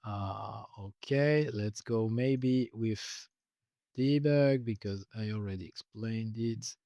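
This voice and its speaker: Dry-voiced man, halting walkthrough. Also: fairly low pitch, warm tone, dry recording. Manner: hesitant, many filler uhs, restarts. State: losing his voice. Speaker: software developer explaining his tool. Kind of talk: casual screen-recorded walkthrough.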